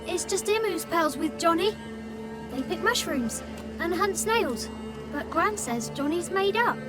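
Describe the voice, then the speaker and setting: A woman's voice: very high-pitched, expressive, unhurried, music in the background. A woman playing a plucky, wary young peasant child in a video game, blunt and artless. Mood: matter-of-fact. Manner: blunt, artless, rustic grammar.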